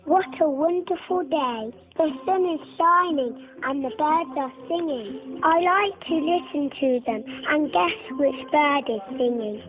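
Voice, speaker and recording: Actress as cheerful little cartoon girl, high pitch, slow careful delivery, trusting, sweet. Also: narrow, tinny audio. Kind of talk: children's storybook cartoon acting.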